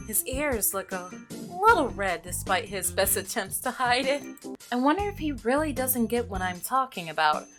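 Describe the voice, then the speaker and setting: A woman hosting a visual novel playthrough, reading aloud and commenting in a playful, expressive manner. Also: on the high side. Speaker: gaming video host. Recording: music behind the voice.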